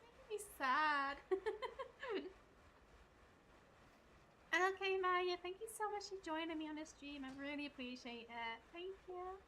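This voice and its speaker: A woman's voice: very high voice, lively intonation, unhurried, long pauses, bright, forceful tone, slight background noise. A woman streamer giving casual commentary and reading lines aloud during a video game live stream.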